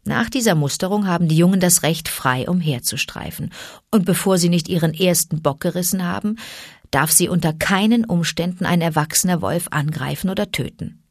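A woman reading a storybook and voicing a calm, careful father wolf who explains rules in measured tones. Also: on the low side, no room sound, clean studio sound. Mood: explaining.

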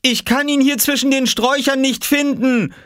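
A man's voice: extremely high pitch, steady tone, moderate pace, a bit bright, smooth, no echo, studio quality, pitched above the performer's own voice. A man voicing an exasperated mother in a children's storybook, calling out insistently.

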